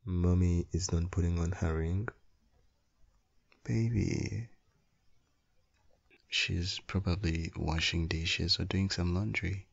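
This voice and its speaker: A man plays a tender, playful young husband and father in an ASMR roleplay. His voice sits very low, crackling with fry, and he takes his time soothingly. The sound is a little dull. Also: steady tone, slow, pauses often, full character voice. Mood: tender amusement. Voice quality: low, gravelly, husky. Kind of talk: intimate ASMR boyfriend audio roleplay.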